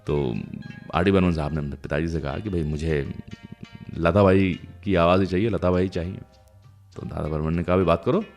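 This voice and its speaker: A man hosting a radio music show, telling stories in a chatty, conversational way with dramatic pauses and emphasis. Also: sits low, darkish tone.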